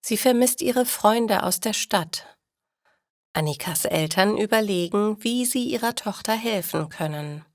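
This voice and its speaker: A woman narrating a scripted story for language learners in a careful, measured read-aloud manner. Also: medium pitch, expressive, no room sound, clean studio sound. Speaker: narrator of stories for German learners.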